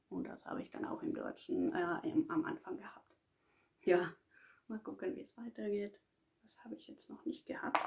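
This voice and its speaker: A Finnish-accented woman, a native Finnish speaker, talks unscripted to the camera as a polyglot vlogger. Her voice sits low with a gravelly fry running through it. She pauses often, feeling her way toward each next word. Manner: hesitant and thoughtful, searching for words. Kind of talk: casual monologue, speaking off the cuff.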